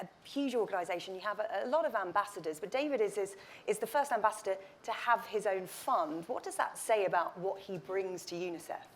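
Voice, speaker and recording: A woman moderating a stage panel, brisk and light-humored. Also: medium pitch, slight background noise.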